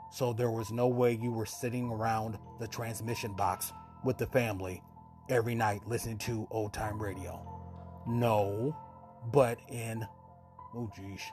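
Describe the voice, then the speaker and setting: A man in his fifties hosting a show, giving a casual scripted introduction. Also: mid-range voice. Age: 50s. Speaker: podcast host. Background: music.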